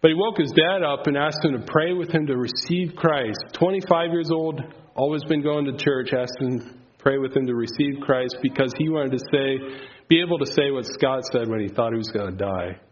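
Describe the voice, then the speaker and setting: A man's voice: mid-range voice, moderate pace. A man whose first language is Pennsylvania Dutch, giving a casual, rambling testimony before an audience with lots of filler words. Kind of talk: faith testimony talk. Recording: slightly dull, lo-fi sound.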